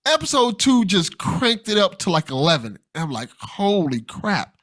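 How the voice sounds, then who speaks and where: A man hosting a podcast, chatting casually and excitedly with an interview guest, often repeating phrases as he goes. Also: sits high, normal speed. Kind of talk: casual enthusiastic chat.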